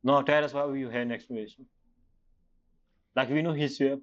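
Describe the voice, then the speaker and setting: A man's voice: mid-range voice, steady tone. Man reacting to a game, slightly muffled. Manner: halting, non-fluent English phrasing. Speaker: game streamer. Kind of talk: casual gameplay reaction commentary.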